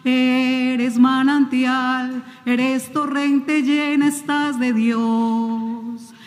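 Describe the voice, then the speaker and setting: A woman sings a gentle Marian hymn, high and drawn out at a very slow pace. Her voice carries a long reverb, with faint music underneath, as in a shrine Mass broadcast. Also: little pitch movement. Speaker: cantor.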